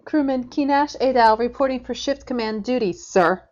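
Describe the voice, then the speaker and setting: A keen junior space crewwoman, played by a woman, with a fairly high voice and a brisk, deferential manner. The sci-fi audio drama recording is a little dull. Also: darkish tone, lightly acted. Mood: eager, dutiful. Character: eager female junior starship crewman engineer.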